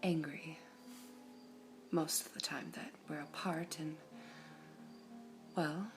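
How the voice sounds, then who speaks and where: A woman voicing a serene all-seeing female gem in an ASMR roleplay, whispering softly in a measured, formal way. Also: low-pitched, steady tone, over music. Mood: calm whispering.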